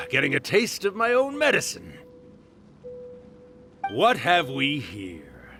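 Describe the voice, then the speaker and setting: An actor playing the spirit of a scheming writer in a video game, with a theatrical, mocking, drawn-out delivery. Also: male voice, mid-range voice, wildly swooping pitch, gravelly, music in the background, heavily acted. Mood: wry, surprised.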